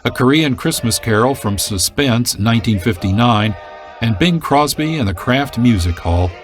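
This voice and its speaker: An American man reading a scripted closing announcement as the host and producer of a radio program. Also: mid-range voice. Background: music.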